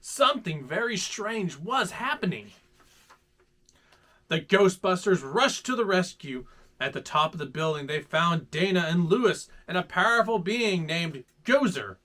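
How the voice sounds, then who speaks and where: A man hosting a children's story time, reading aloud in a playful, dramatic, animated way. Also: high-pitched, a little slow. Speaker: host reading children's stories.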